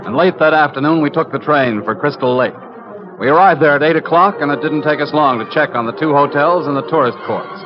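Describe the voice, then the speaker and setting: A man's voice: on the high side, fairly quick, close to an everyday voice. A man playing a federal agent who narrates his case in a procedural, matter-of-fact way in an old radio crime drama. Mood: factual. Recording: muffled vintage radio recording, music behind.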